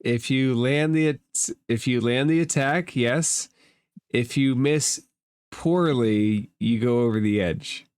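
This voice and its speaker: A man's voice: medium pitch, slow pace, a little mellow, smooth, no room sound, studio quality. Matter-of-fact man as game master. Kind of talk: refereeing a tabletop role-playing game.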